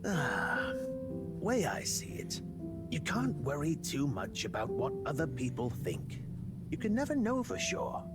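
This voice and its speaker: A warm-hearted robot pal, slow and sing-song, in a game mix with heavy music. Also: male voice, a little high, wildly swooping pitch. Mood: friendly. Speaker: game character reading a letter. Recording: game audio with loud music.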